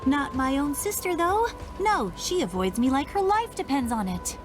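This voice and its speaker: A woman's voice: sits high, sing-song, moderate pace, music in the background. A woman voicing an eager, cheeky young girl sidekick in a video game, chirpy, teasing and self-assured. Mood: proud, pouting.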